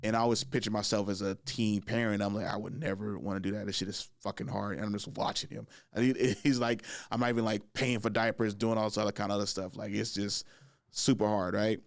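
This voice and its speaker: A man in his thirties hosting a casual chat, telling stories in a joking, slangy way, often laughing and jumping high in pitch when excited. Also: fast talker, gravelly, no room sound, studio quality. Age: 30s. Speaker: podcast host.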